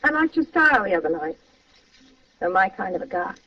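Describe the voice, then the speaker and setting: A woman's voice: mid-range voice, big pitch swings, fairly quick. A muffled, slightly distant vintage radio recording features a seductive, calculating wife. Her delivery is coy and theatrical, in crime-drama style. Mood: coy, scheming. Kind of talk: old-time radio crime acting.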